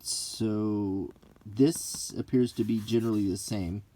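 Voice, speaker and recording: A man's voice: on the low side, fairly even intonation, slow pace, warm tone, poor audio. A middle-aged man talking casually and hesitantly in an unscripted, ASMR-like way, musing as he reviews something. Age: 40s to 50s. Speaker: host of a hobby video.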